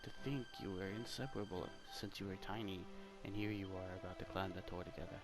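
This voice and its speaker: A man's voice: low voice, steady tone, fast talker, creaky. A man reading out the game dialogue of a fond village mother fussing over her grown son. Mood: fond, proud. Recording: loud game music behind the voice.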